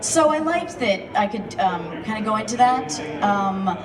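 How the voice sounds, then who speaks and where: A voice actress speaking on a convention panel, excitable and gushing, with frequent laughter. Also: female voice, mid-range voice, moderate pace. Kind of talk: casual panel talk. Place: large convention hall, heard from the back of the crowd. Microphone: phone recording from far back in the audience. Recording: distant, echoey phone recording in a crowd.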